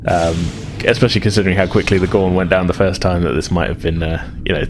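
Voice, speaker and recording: Low-voiced man running a tabletop game. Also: fairly even intonation, background noise. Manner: halting, hedging narration. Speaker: game master. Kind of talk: narrating a tabletop role-playing game, conversational.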